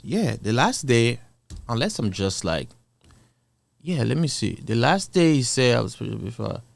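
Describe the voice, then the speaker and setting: African-born guy rambling over a clip, dry mic, middle pitch. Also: big pitch swings, measured pace, vocal fry, dry recording. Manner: rambling, loose, self-correcting. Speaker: reaction video host. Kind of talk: casual reaction commentary.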